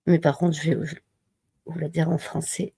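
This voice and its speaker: A woman giving a slow, measured devotional reading and reminder, pausing often between phrases. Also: low-pitched, flat delivery, creaky, mellow, breathy edge, no room sound. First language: not Arabic. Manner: slow, measured recitation with pauses. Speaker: religious reminder speaker.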